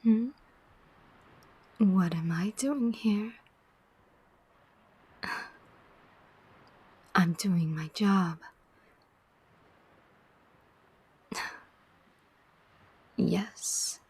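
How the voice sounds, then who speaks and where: Casting: woman to play female demon soul collector for ASMR roleplay. Very breathy whisper, extremely unhurried, sardonic, weary, teasing. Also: medium pitch, darkish tone, exaggerated voice. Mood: weary, grave. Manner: slow, deliberate, teasing, pausing often.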